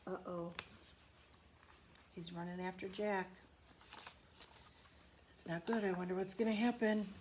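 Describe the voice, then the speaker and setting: A woman reading a storybook aloud to children, expressively, pausing to wonder aloud and explain things simply. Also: fairly low pitch, fairly even intonation, darkish tone, a little hiss or hum. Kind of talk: children's read-aloud with asides to the listener.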